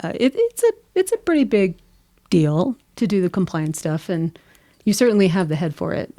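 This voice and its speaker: A middle-aged woman hosting a podcast, chatty and laughing often, her voice slightly hoarse from allergies. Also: on the low side, sing-song, normal speed, dark tone, dry recording. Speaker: podcast host. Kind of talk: casual interview chat.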